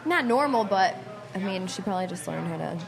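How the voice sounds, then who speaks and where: A woman's voice: mid-range voice, animated, a little fast, vocal fry, crowd noise. A woman chatting and laughing as a co-host.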